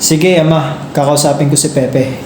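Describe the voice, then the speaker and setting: A man's voice: fairly high pitch, steady tone, moderate pace, lightly acted. A man playing a protective, earnest young elder brother in an amateur Filipino radio drama. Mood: serious. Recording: roomy sound with faint noise.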